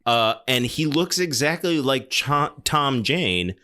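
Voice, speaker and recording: A man's voice: medium pitch, lively intonation, takes it slowly, pushed and bright. A man hosting a podcast, chatting casually about film in an emphatic, wryly critical way. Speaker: podcast host. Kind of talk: casual film discussion chat.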